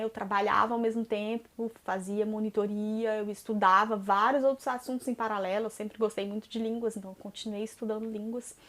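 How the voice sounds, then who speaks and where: A Brazilian woman in her thirties speaks with a Brazilian Portuguese accent in a slightly high voice. She talks through a personal vlog in a relaxed, conversational way, tagging her sentences with "né?" and sometimes stumbling and restarting before she carries on. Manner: conversational, frequent "né?" tags and hesitant restarts. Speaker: vlogger. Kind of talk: personal vlog monologue answering viewer questions.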